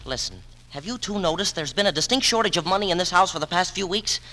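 A man's voice: high voice, animated, fast, sharp tone. A man playing a blunt, knowing eighteen-year-old brother in a 1940s radio drama, matter-of-fact and teasing. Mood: confiding. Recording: reverberant, noisy old radio stage recording.

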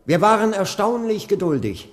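Young preacher, wide-ranging pitch, solemn, earnest, reverberant hall, radio drama. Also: male voice, big pitch swings. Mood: solemn, impassioned. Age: young adult. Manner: solemn, impassioned, deliberate. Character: earnest young male preacher leading a protest movement. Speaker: preacher and movement leader. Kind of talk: 1980s German radio play acting, oratory.

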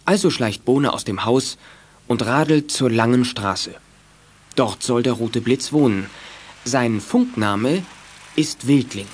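A man's voice: mid-range voice, a little hiss or hum, close to an everyday voice. A man narrating a children's detective audio drama as a composed, scripted storyteller. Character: composed male storyteller of a youth detective tale.

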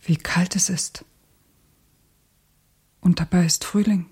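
A woman's voice: on the low side, steady tone, slow pace, dark tone, slightly rough, heavily acted. An actress playing an anguished, bitterly disillusioned young woman revolutionary in a radio play, speaking breathily and intensely, often near a whisper. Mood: anguished, despairing. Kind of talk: German radio play acting.

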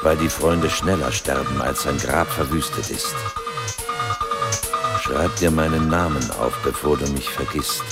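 A man's voice: extremely low pitch, a little slow. A man reciting bleak, dystopian verse as spoken word in a radio play. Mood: quiet, mournful. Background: loud music.

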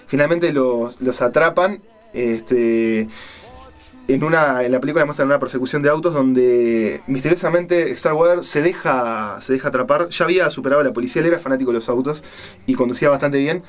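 A man with a Rioplatense Spanish accent hosting a radio show, telling a story casually and haltingly, searching for his words. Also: mid-range voice.

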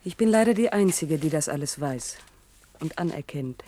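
A woman's voice: sits low, animated, normal speed, dark tone. An actress playing an impatient, reproachful woman in a radio play, speaking in a pointed, matter-of-fact way. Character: impatient, reproachful female partner of a dreamer. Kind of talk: German science-fiction radio play acting.

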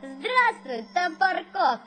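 High-voiced naive unborn baby girl. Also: big pitch swings, takes it slowly, full character voice. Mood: gentle, shy. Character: unborn baby girl, naive, curious, gentle, five weeks old. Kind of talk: radio play acting. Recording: studio radio play with music behind.

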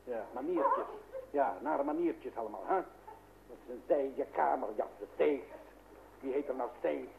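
A very noisy, narrow-band old radio recording carries a grumbling Dutch head of the household. His creaky voice swings widely as he mutters and mocks, in theatrical comedy style. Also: mid-range voice, huge pitch swings, measured pace, exaggerated voice. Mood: mocking, muttering. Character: grumbling, mocking Dutch father, self-styled master of the house. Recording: phone-like old radio recording, loud noise.

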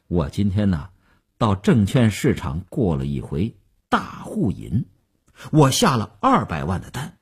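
A man's voice: fairly low pitch, huge pitch swings, slightly rough, voice pushed down, full character voice. A man playing a smug, boastful stock speculator who cajoles his partner in chatty audiobook dialogue. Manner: chatty, cajoling, with drawn-out particles and chuckles.

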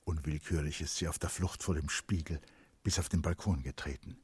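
A man narrating a literary radio drama in a measured, precise, dramatic manner, as an intimate observer. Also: a bass voice, darkish tone, slightly rough, exaggerated voice. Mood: tense, observant.

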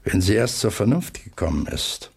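An actor playing a stern, stubborn middle-aged father in a radio drama, terse and authoritative, with a husky, gravelly voice. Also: fairly low pitch, sing-song, normal speed, full character voice.

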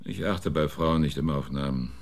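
A laconic, sardonic private detective with a low voice. He dodges questions with dry, wry answers in a radio drama. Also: fairly quick, gravelly, exaggerated voice. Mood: evasive. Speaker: private investigator.

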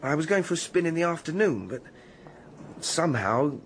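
An actor playing a silly upper-class English gentleman in a radio drama, flustered, apologetic and rambling. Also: on the high side, very animated, normal speed, slight background noise. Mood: vague, sheepish.

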